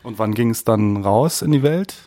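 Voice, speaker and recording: A man's voice: medium pitch, animated, normal speed, warm tone. A man hosting a podcast, introducing and interviewing a guest in a friendly, conversational way. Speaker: podcast host.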